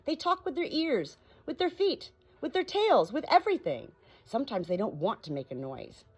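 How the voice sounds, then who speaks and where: A woman voicing a proud, bossy female parrot in a high, insistent, instructive voice for a storybook. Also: big pitch swings, normal speed, husky, full character voice.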